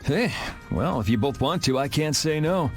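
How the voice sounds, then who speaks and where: A man's voice: on the high side, animated, normal speed. An actor playing a laid-back, teasing young swordsman in a game skit, casual and wry. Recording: game music behind voice.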